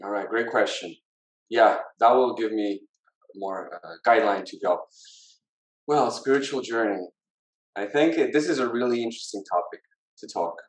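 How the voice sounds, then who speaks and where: A man's voice: lowish voice, normal speed, pauses often. A man in his thirties with a Portuguese accent, talking reflectively as a podcast guest and searching for words. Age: 30s. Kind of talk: reflective interview talk. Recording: roomy, echoing sound.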